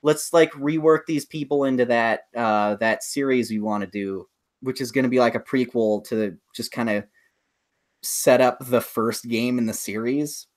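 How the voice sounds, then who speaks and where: Male podcast co-host, medium pitch, conversational, eager and rambling explainer. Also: normal speed. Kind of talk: casual chat about films and games.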